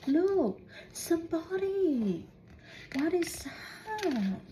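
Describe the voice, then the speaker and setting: A woman reading a children's storybook aloud in deliberate, halting phrases, putting questions to young listeners. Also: very high voice, very animated, dark tone, slight background noise. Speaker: student reading a picture book aloud.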